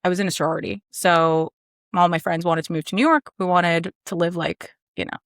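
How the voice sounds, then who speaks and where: A woman's voice: fairly low pitch, flat delivery, brisk, no echo, clean studio sound. A woman in her thirties from Maryland, a podcast guest telling her story in a confident, chatty way. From Maryland, USA. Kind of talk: casual conversation.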